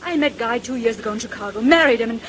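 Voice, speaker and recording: An actress playing an anguished, vengeful young stewardess in a 1930s radio drama, speaking in a halting, emotional way. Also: female voice, on the high side, natural voice. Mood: bitter, anguished. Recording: hissy old 1930s radio recording.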